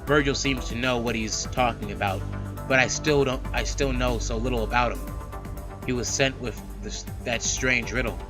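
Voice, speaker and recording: A man hosting a let's play, reading a visual novel aloud with animated, slangy casual asides. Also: mid-range voice, moderate pace. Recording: loud background music. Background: game music.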